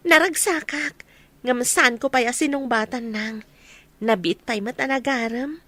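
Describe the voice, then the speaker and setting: A cheerful young daughter in love acted by a woman in a radio drama. Her voice is very high and girlish, and she talks in a confiding way. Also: slow, fairly bright tone. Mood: happy, hopeful. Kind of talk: Ilocano radio drama acting.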